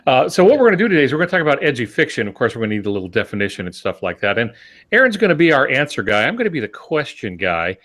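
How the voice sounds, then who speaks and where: A man's voice: medium pitch, big pitch swings, rapid-fire, fairly bright tone. An older man co-hosting a podcast, explaining casually in a wry, joking way. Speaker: podcast co-host and author.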